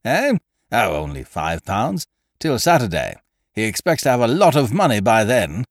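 A man voicing a cheerful, excitable male government official who speaks with eager fervour. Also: medium pitch, normal speed, no room sound, studio quality. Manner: fervent, eager.

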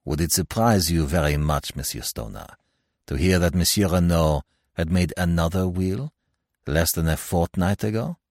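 A man voicing a courteous French examining magistrate in an audiobook mystery, speaking in a formal, deliberate, polite way. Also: sits low, a little mellow, dry recording, clean studio sound. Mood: formal, probing. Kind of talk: acted dialogue in audiobook mystery.

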